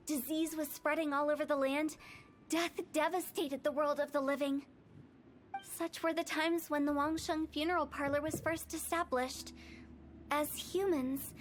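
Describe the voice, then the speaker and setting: A woman's voice: high voice, natural voice. A woman voicing a playful, mischievous young funeral parlor director who tells old tales in a game. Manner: teasing, then solemn storytelling. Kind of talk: game cutscene voice acting. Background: game music.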